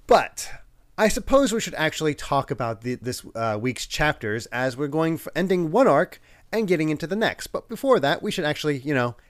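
A man's voice: fairly high pitch, a little fast, no room sound, clean studio sound. A man hosting a casual podcast, a little congested from a head cold, laughing often as he chats. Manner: laughing, animated, acts out quotes. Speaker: podcast host.

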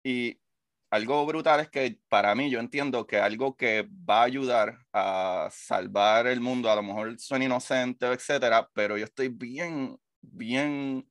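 A man's voice: medium pitch, unhurried, a bit bright, dry recording. A man hosts a casual interview in a mid-range voice. He sounds eager and upbeat but hesitates, leaning on fillers, recorded dry and close with no room sound. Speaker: interview host.

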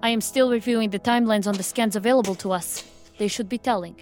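A woman plays a serious female investigator with a medium-pitched voice. She is precise, formal and matter-of-fact, in a sci-fi audio drama with music underneath. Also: fast talker, a little mellow, natural voice. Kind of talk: science fiction audio drama acting.

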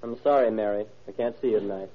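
A man's voice: lowish voice, fast talker, music in the background, close to an everyday voice. An actor playing a sullen, defiant young man, the son of a rich father, in an old-time radio drama. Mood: apologetic. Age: young adult. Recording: dull, muffled vintage radio recording.

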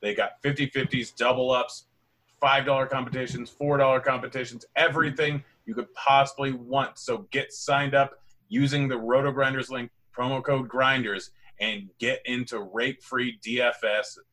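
A man hosts a fantasy basketball podcast with a bright, forceful voice. He runs through sponsor reads and matchups in an upbeat, rapid-fire way. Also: medium pitch, flat delivery. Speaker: podcast host.

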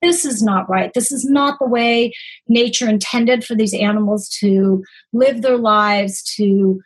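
Female guest, 40s, raised pitch with some rasp, conversational personal storytelling. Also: a little slow, poor audio. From born in Greece, grew up in the United States. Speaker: podcast guest, holistic nutritionist.

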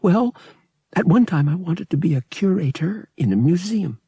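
A man voicing an earnest, devout young female pilgrim in an audiobook, reserved, wistful and softly spoken. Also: sits high, lively intonation, normal speed, creaky, breathy edge, no echo, pitched above the performer's own voice, full character voice. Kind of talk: audiobook character acting.

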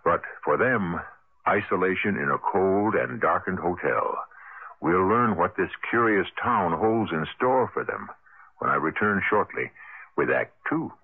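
A man hosting and narrating a scripted radio mystery in a measured, dramatic storytelling manner. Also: deep voice, animated, vocal fry, breathy edge. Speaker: host and narrator. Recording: lo-fi 1980 radio broadcast, music behind.

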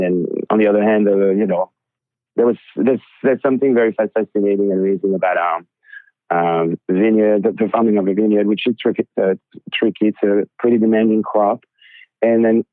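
A man with a French accent, a winemaker guest on a podcast, talking in a halting way with many fillers and restarts. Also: on the low side, no room sound. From France. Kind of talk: podcast interview. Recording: tinny phone-like line.

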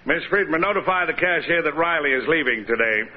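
An actor playing a blandly cordial, formal company boss with measured speech in an old radio comedy. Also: male voice, fairly high pitch, lively intonation, natural voice. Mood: cordial, businesslike. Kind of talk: 1940s radio situation comedy acting. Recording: dull old radio broadcast, audience noise.

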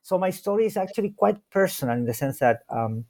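A man's voice: high voice, lively intonation, a little fast, darkish tone. Hesitant man from Chile, many restarts. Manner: hesitant, many repeated words and fillers. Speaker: guest scholar. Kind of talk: conversational interview.

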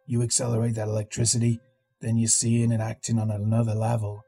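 Low sly coffee-hustler inmate, coaxing drawl, read by a husky-voiced man. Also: completely flat delivery, brisk, mellow, soft background music. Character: sly sweaty older male inmate pushing coffee.

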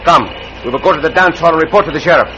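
An actor playing a bold Mexican cowboy hero in an old radio western, with a Mexican accent and formal, commanding English. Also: male voice, fairly high pitch, very fast, loud music behind the voice, natural voice. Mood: urgent. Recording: noisy, muffled vintage radio recording.

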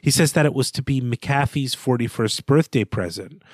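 Man giving lively book commentary, dry sound. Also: mid-range voice, normal speed, no room sound. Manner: lively craft-focused commentary. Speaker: podcast co-host. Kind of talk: book discussion podcast.

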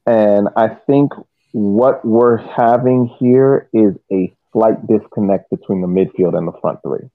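A man's voice: lowish voice, measured pace, no room sound, narrow, tinny audio. A man giving analytical sports commentary as a podcast panelist, explaining at length and repeating words as he goes.

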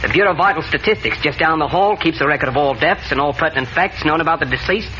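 An actor playing a courteous, helpful government clerk in a 1940s radio drama, polite and a little folksy. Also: male voice, a little high, fast, lightly acted. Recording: dull, noisy old radio recording.

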